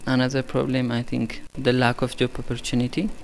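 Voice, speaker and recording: A young man with an Ethiopian accent, giving a halting interview statement as a youth delegate. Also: mid-range voice, monotone, gravelly. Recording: background noise and ambience.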